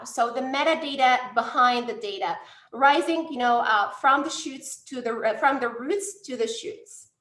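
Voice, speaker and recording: A woman's voice: high-pitched, pushed and bright, roomy sound. A woman from Tunisia, a lab director, giving a conference talk with frequent fillers and restarts. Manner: hesitant, many fillers and restarts. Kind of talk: conference presentation.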